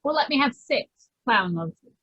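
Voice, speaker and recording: A woman's voice: fairly high pitch, lively intonation, moderate pace, breathy edge, muffled recording. A woman playing a polite, well-to-do lady customer in an old-time radio comedy.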